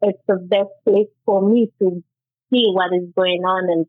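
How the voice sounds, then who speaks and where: A woman's voice: mid-range voice, fairly even intonation, dry recording. Woman, earnest. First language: Haitian Creole. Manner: earnest, halting with restarts. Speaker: guest author. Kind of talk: interview, personal testimony. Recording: tinny phone-like line.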